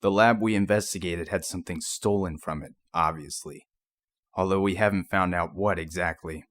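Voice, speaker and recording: A man playing a gruff, irritable, sardonic faction leader in an audio drama, speaking in a curt, deadpan, coarse way. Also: sits low, normal speed. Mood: grudging, irritated. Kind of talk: scripted audio drama acting. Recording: voice under loud guitar music.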